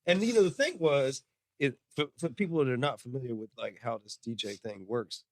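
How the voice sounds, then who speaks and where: A man co-hosting a podcast, telling a story in an animated, lively way. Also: medium pitch, fairly quick, dry recording. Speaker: podcast co-host. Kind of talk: casual chat, anecdote.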